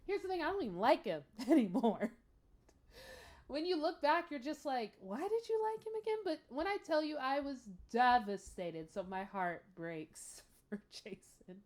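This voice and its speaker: A woman hosting a casual reaction show, confiding and expressive, with dramatic emphasis. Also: high-pitched, moderate pace.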